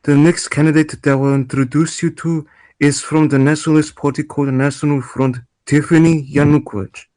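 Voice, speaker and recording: A man's voice: fairly high pitch, fairly even intonation, a little fast, mellow, natural voice. A man playing a polite election debate moderator, reading formal introductions from a script. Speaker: actor in an amateur table read.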